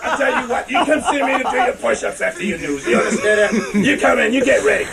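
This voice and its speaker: A very high-pitched man in full drill instructor mode, with a swooping, animated delivery. He badgers a recruit with sarcastic questions and barked orders, with other people audible around him. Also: fast, gravelly, crowd noise. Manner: sarcastic, commanding, badgering with repeated rhetorical questions. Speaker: Marine Corps drill instructor, studio guest. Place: radio studio.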